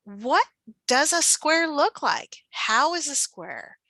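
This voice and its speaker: A woman presents as a teacher trainer with a bright, expressive voice on the high side. She explains in an instructional way and often puts questions as one would to young children. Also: takes it slowly, dry recording.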